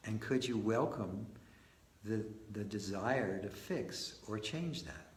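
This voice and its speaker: A man leading a guided meditation as its teacher, speaking in short phrases and gentle questions with long pauses between them. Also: fairly low pitch. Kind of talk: guided meditation led live, slow and spoken in short phrases. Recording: roomy echo with faint background noise.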